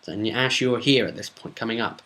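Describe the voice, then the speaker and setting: A man speaking as a game master, casually retelling a role-play session with emphatic, drawn-out words for suspense. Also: medium pitch, sharp tone, low-quality recording. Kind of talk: casual spoken storytelling recap.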